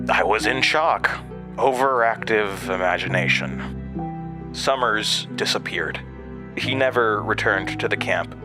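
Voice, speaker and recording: A male actor plays a traumatized man narrating his past in a horror audio drama. His voice is low and very slow, with halting stammers and deliberate phrasing. Music plays clearly beneath him. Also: natural voice. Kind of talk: audio drama monologue, first-person narration. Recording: music under the voice.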